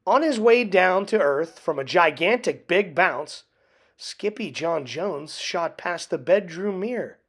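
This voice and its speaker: A woman narrating a children's picture book aloud in an expressive, deliberate storytelling manner. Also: lowish voice. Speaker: storybook reader.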